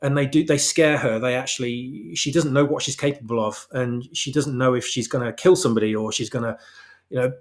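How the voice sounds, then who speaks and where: A man with a mid-pitched voice talks as a guest author in a relaxed interview. He explains things at length and states his opinions with emphasis. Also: fairly quick. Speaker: guest author of fan fiction. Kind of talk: casual interview chat about his own story.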